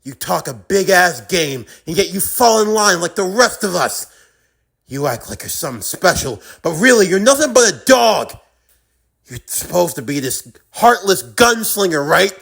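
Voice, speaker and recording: A man's voice: high-pitched, big pitch swings, moderate pace, creaky, a bit bright, breathy edge, full character voice. A man playing a defiant, bitterly sarcastic young man in an intense anime-style drama, with a profane, taunting, emphatic delivery.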